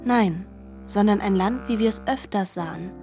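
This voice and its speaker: Radio drama: woman playing solemn, contemplative narrator of myth, mellow and unforced, measured literary phrasing. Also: medium pitch, soft background music, natural voice. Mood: calm, descriptive. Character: solemn, musing female narrator of a mythic novel.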